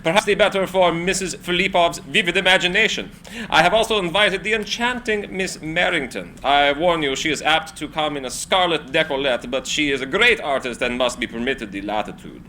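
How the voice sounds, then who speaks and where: An actor at a live script reading, playing a dapper young inventor who speaks in a formal, florid, courteous manner. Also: male voice, fairly high pitch, a little fast, sharp tone, slight background noise. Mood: courtly, pleased.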